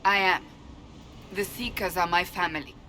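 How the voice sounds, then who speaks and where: A woman's voice: fairly low pitch, steady tone, normal speed, sharp tone, slight background noise. An actress playing a stern, devout woman warrior in a video game, speaking formally and earnestly. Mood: grave, earnest. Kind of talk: dramatic video game acting. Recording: music behind the voice.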